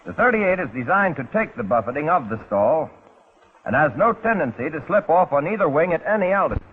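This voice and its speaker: A man's voice: fairly high pitch, big pitch swings, a little fast, breathy edge. Tinny 1940s American training-film narrator, male. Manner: instructional with folksy, wry asides. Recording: muffled, phone-like 1940s film soundtrack.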